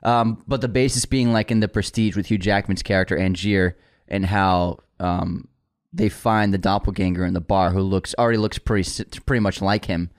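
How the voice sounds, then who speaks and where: A man co-hosting a movie news podcast, talking casually in long rambling turns. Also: low voice. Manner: rambling, full of restarts and references. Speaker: podcast co-host.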